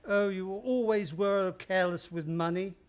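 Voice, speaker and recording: A man's voice: high voice, measured pace, clean tone. A man playing a smug, wealthy older stepfather in a radio play, genteel, drawling and needling. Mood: taunting.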